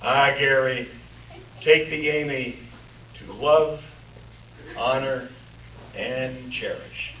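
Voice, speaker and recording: A man's voice: medium pitch, extremely slow, pauses often. A man playing an earnest, folksy town marshal in an old-time radio comedy. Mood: solemn, earnest. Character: slow-drawling, comically earnest Western town marshal on his wedding day. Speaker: actor in a radio comedy re-creation. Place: live stage show before an audience. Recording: roomy, muffled, distant live stage with audience noise.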